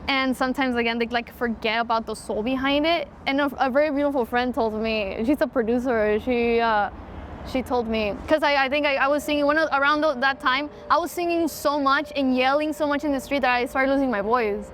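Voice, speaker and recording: A woman's voice: sits high, fairly quick, fairly bright tone, a little hiss or hum. A young woman, a mariachi singer talking casually in an interview and switching between English and Spanish mid-sentence.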